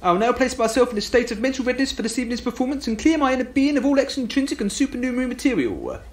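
A man's voice: very high voice, sing-song, racing, slight background noise, voice pushed up. A man voicing a pompous, formal music-hall memory man, declaiming in a homemade radio play. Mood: proud, declaiming.

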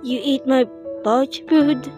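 A woman's voice: high-pitched, steady tone, takes it slowly, music in the background. A woman voicing a stubborn, emotional young turtle, a fiery little brother, impassioned and defiant in a fan comic dub. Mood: tearful insistence.

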